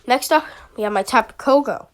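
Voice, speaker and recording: A woman's voice: a little high, a little fast. Sniffly young woman, chatty and rambling. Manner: chatty, rambling, with many fillers. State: sniffly with a summer cold. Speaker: YouTuber analyzing a draft league team. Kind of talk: casual commentary.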